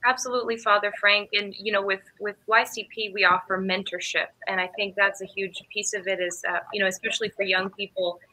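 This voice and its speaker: A woman's voice: mid-range voice, fairly even intonation, fairly quick, hardly any pauses, bright, forceful tone, rough recording. A young adult woman speaking earnestly on a video call panel, chatting with fillers. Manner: earnest, conversational, many fillers. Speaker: lay ministry panelist. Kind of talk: casual panel discussion. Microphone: webcam on video call.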